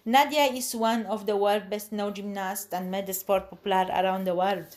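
A woman's voice: medium pitch, fairly even intonation, moderate pace, a little mellow. A woman reads a prepared talk for a student podcast. Her delivery is careful, with small stumbles and restarts along the way. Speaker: ESOL student. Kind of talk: reading a prepared short talk.

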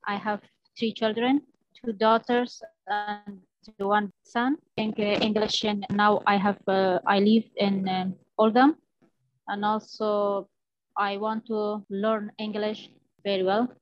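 A woman from Afghanistan, an ESOL student, answering hesitantly with many pauses and fillers. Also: mid-range voice, level tone, no room sound, muffled recording. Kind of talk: podcast interview answers.

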